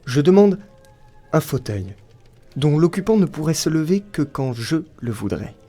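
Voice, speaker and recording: A man's voice: fairly high pitch, big pitch swings, a little slow, mellow, faint music behind. A man acting male characters of a folk tale in an audio drama, speaking in a theatrical, emphatic manner. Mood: eager, demanding. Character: male folk-tale characters: devil, saint, wily blacksmith.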